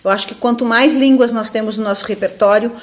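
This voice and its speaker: Higher-voiced southern Brazilian woman lecturing informally. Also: fairly even intonation, fast talker. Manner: reflective, seeks agreement with frequent tag questions. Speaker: university professor, webinar speaker. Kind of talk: informal academic webinar talk.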